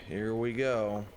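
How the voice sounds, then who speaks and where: A man playing a wry, sarcastic captured adventurer in a comedic audio drama, speaking in a deadpan, sardonic way. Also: lowish voice. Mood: weary sarcasm.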